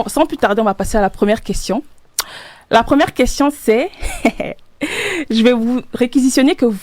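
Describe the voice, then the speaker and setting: A woman's voice: mid-range voice, darkish tone. Upbeat young woman hosting a panel. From Cameroon. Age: young adult. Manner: upbeat, laughs often, searches for words. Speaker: podcast host. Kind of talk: casual panel discussion.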